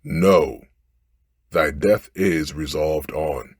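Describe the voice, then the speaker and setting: A man's voice: extremely low pitch, extremely slow, husky, dry recording, studio quality, heavily acted. A man voicing a stern, menacing storybook genie who makes commanding pronouncements in archaic speech. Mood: stern, impatient. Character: stern, ungrateful, menacing genie freed from long imprisonment. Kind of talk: storybook character voice.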